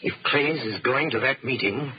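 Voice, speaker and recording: A man playing a confident, commanding crime-fighting sleuth in a 1930s radio drama, speaking with measured authority, on an old muffled recording. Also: mid-range voice, noisy background, close to an everyday voice. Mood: deducing, decisive.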